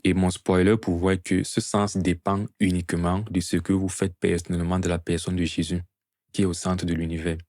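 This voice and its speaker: A man's voice: low-pitched, level tone, a little fast, darkish tone, no echo, studio quality. A man preaching an evangelistic sermon to a gathering in an earnest, teaching manner, often posing rhetorical questions.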